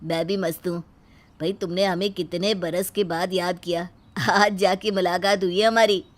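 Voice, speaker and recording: A middle-aged family man as acted for an audio storybook, genial and polite. He sounds like a weary but courteous host at home, in a mid-pitched voice. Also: flat delivery, moderate pace, close to an everyday voice. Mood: cheerful. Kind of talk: audio moral-story acting.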